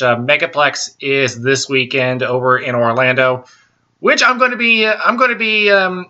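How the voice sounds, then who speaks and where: A man hosting a casual solo show, talking slowly with lots of ums, uh's and restarts, in a baritone voice. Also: sharp tone, lo-fi sound. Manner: slow and halting, many ums and restarts. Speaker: podcast host.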